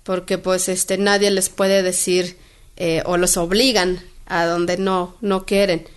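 A woman midwife relays what a colleague has said, speaking in a very flat, level tone. She hesitates constantly, reaching for fillers and restarting her phrases. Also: fairly low pitch, takes it slowly, smooth. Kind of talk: interview answer.

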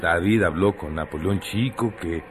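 A man's voice: deep voice, unhurried, background noise. An actor plays a weary, fatalistic man in a radio drama. He mutters his lines, resigned and bitter, as someone who has given in to his fate. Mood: bitter resignation.

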